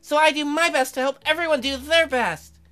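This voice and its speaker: A woman's voice: fairly quick, a bit bright, soft background music. A woman voicing a sweet, eager, easily flustered schoolgirl class president in a visual novel, with a girlish voice. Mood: cheerful, eager. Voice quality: high, girlish.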